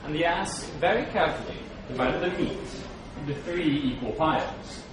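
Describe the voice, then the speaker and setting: A man's voice: a little high, normal speed. A man reading stories aloud dramatically to a live audience, recorded from a distance in a very echoey, noisy room. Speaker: reader at a public read-aloud. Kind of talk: dramatic scripted storytelling.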